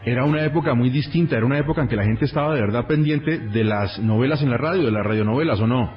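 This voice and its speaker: A man's voice: mid-range voice, fast, dull audio, faint music behind. A man with a Colombian accent conversationally interviewing guests on the radio.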